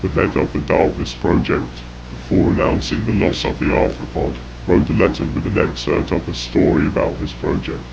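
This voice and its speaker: Very gravelly, low-voiced male horror narrator. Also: steady tone, moderate pace, hardly any pauses. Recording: dull, noisy lo-fi audio with rumble.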